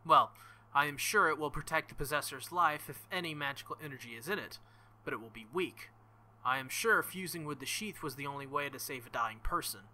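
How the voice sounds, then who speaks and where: A man reading aloud as a stern, earnest young woman knight-king, in formal, measured speech without contractions. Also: a little high, pushed and bright, poor audio. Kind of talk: reading visual novel dialogue aloud.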